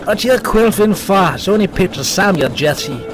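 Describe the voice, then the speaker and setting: A man's voice: high-pitched, expressive, normal speed, music in the background. A man playing a brusque, teasing train driver in an audio drama, matter-of-fact in manner. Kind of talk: audio drama character acting.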